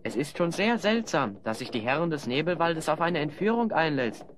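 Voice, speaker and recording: A man's voice: a little high, sing-song, moderate pace, a little hiss or hum. A man playing a bold, clever young adventurer with a wry, decisive manner in a German audio play. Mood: confident.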